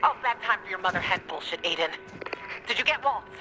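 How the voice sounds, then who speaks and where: A woman's voice: mid-range voice, expressive, fairly quick, lightly acted. An actress playing a tough, brave young woman fighter in a video game, talking bluntly and urgently, with a noisy recording. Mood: impatient. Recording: noisy game cutscene audio.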